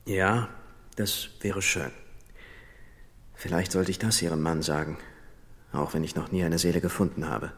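A man's voice: low-pitched, steady tone, unhurried, long pauses, big-room sound. A man playing a serious, kindly authoritative surgeon in a German children's radio drama, speaking soberly and frankly. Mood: sober, reflective. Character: serious, gently frank male surgeon, adult.

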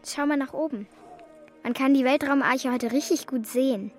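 A woman's voice: high voice, moderate pace, soft background music, lightly acted. An actress playing an earnest, youthful girl who is a devoted friend, in a German children's radio drama. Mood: friendly, earnest.

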